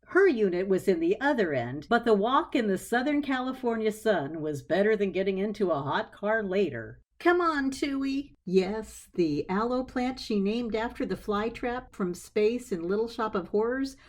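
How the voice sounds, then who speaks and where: Animated woman narrating a wry story. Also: mid-range voice, moderate pace, hardly any pauses.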